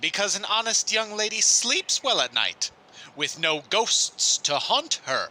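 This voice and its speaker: Fussy, proper male schoolmaster for audio drama, played by a man: highish, swooping pitch, easily flustered. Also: sing-song, measured pace, bright, forceful tone, exaggerated voice, low-quality recording. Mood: prim, stern. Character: fussy, nervous, prim male village schoolmaster.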